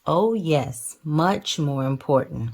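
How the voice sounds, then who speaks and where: A woman voicing a gentle mother bear from a storybook, patient and reassuring, with emphatic delivery. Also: low-pitched, animated, slow, a little hiss or hum, heavily acted.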